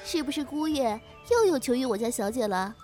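A woman's voice: high-pitched, lively intonation, fairly quick, darkish tone, soft background music. A woman playing a pert, loyal young maidservant in a Chinese costume audio drama, speaking in an exclamatory, huffy way.

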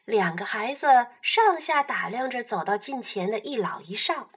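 Animated high-voiced woman storyteller. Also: normal speed, husky. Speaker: storyteller narrator. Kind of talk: animated narration for a children's radio play.

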